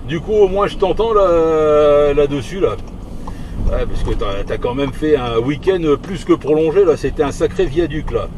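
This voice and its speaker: A man chatting casually in on the radio while driving, in a hesitant, halting, conversational way. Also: fairly high pitch, animated. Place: inside a moving vehicle. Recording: noisy moving vehicle, mic at a distance. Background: loud engine and road noise.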